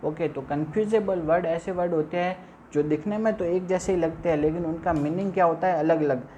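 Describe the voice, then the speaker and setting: A woman's voice: lowish voice, steady tone, fairly quick, dark tone. A woman teaching vocabulary for an exam, spelling out each word letter by letter and repeating every answer as a quiz. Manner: asks and answers her own questions. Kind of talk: vocabulary lesson. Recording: dull lo-fi sound with faint noise.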